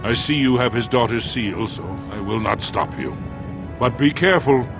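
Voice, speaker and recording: An actor voicing a stern, wary dwarven tunnel guard in a fantasy video game, speaking formally and with caution. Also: male voice, mid-range voice, normal speed, natural voice. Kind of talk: video game character dialogue. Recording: game music behind the voice.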